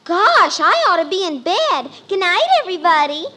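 A woman's voice: extremely high pitch, sing-song, moderate pace. A woman playing an eager, earnest little girl in an old-time radio sitcom. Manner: childlike, earnest. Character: eager little girl, youngest daughter of the family. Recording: noisy vintage radio recording.

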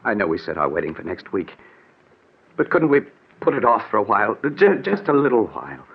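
A dull old radio recording carries a haunted young bridegroom. His voice is husky and swings widely in pitch. He speaks in halting, overwrought bursts, like a man losing his sanity in a melodrama. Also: huge pitch swings, exaggerated voice. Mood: pleading. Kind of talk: 1940s radio drama acting.

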